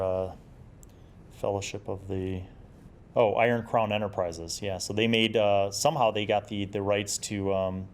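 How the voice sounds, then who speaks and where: Low-pitched middle-aged man, casual rambling commentary. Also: a little hiss or hum. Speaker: YouTube host reviewing vintage gaming magazines. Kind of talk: casual commentary, thinking aloud while browsing.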